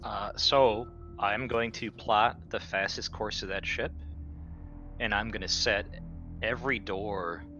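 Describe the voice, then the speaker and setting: Male player, low voice, blunt casual planning, slightly dull audio with prominent music. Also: steady tone, takes it slowly, bright, forceful tone. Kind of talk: casual tabletop game talk.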